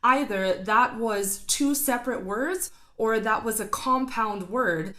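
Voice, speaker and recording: A woman with a slightly high voice talks through a song she has just heard, analyzing vowels and technique like a vocal coach. She is enthusiastic and a little rambling, full of fillers. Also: takes it slowly, bright, forceful tone. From not Filipino; studied singing. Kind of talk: casual reaction commentary.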